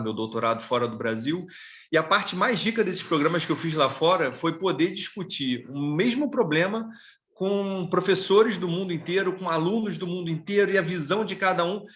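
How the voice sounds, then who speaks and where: A man's voice: on the high side, a bit bright. A Brazilian man giving an earnest talk on a video call. He often repeats small words as he starts a thought. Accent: Brazilian Portuguese. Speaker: panelist from an immigrant support association. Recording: dull lo-fi call audio.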